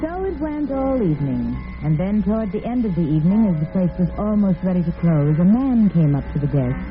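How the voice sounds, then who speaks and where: A woman's voice: on the low side, sing-song, brisk. A woman giving dramatic scripted narration as the storyteller of a radio drama. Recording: tinny vintage radio recording with music.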